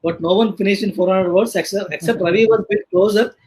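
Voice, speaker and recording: A man hosting a live online panel, casually introducing guests in a halting way with many filler sounds. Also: sits high, steady tone, a little mellow, breathy edge. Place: online live video session.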